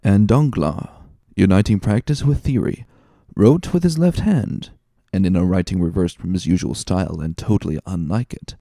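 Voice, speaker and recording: A man's voice: sits low, normal speed, vocal fry, mellow, no echo, clean studio sound. A man narrating a classic novel as a dramatic audiobook reading.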